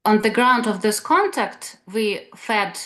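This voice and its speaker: A woman's voice: medium pitch, lively intonation, takes it slowly, a bit bright. A woman taking part in a webinar asks a hesitant question over a video call, with many fillers. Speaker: webinar participant.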